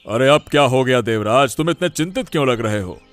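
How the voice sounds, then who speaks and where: Man acting authoritative village headman, medium pitch. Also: lively intonation, a little fast, faint music behind, lightly acted. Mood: concerned. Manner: authoritative, curt. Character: stern, commanding male village headman. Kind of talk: Hindi cartoon moral-story acting.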